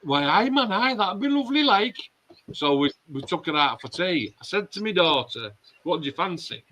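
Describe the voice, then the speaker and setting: Yorkshire bloke hosting a club chat stream, bright voice, blunt, swears a lot. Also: male voice, sits high, lively intonation, normal speed, slight background noise. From Yorkshire, England. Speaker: host of a modelling club chat. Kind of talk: casual online livestream chat.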